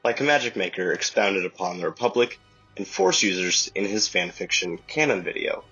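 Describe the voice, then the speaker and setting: Husky low man, dull lo-fi recording. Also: expressive, normal speed, sharp tone, faint music behind. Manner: explanatory, plain-spoken. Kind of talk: scripted announcement reading.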